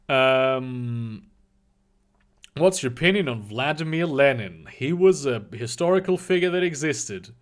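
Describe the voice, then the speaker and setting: A man in his twenties whose first language is German, answering chat questions on a livestream in a halting, casual way. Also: medium pitch, expressive. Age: 20s. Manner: halting, restarts often, reads donor questions aloud.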